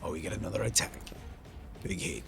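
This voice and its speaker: A man's voice: sits low, animated, fairly quick, creaky. A man commentating over his playthrough of a strategy game, musing aloud and talking to the characters, with game music behind him. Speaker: gaming channel host.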